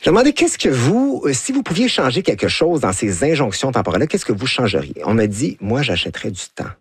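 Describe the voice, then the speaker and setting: A man's voice: medium pitch, a little fast, breathy edge, no room sound, clean studio sound. A man with a Québécois accent, explaining things with animation as a columnist on a radio panel. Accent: Québécois French. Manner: animated, explanatory, laughs easily.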